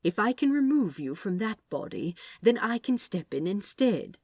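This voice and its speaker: Woman playing fussy, anxious male angel, lively pitch. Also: no room sound, studio quality. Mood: earnest, explaining. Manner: earnest, halting, explanatory.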